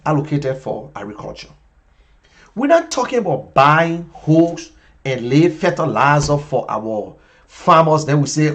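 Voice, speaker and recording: A man in his fifties with a Liberian English accent, giving an impassioned political talk show monologue, emphatic and repetitive, swinging between loud bursts and quiet asides. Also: on the high side. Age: 50s.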